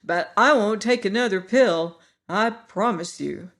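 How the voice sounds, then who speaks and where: A storyteller voicing a young boy who is easily upset and turns sulky, crying out high and loud. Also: unhurried. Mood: peevish. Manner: wailing, high and loud in distress.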